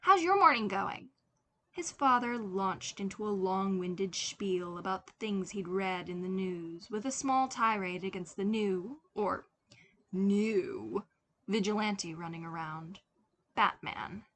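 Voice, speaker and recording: A woman reading a scripted story aloud as an expressive fiction narrator. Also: mid-range voice, takes it slowly, slight background noise. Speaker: podfic narrator.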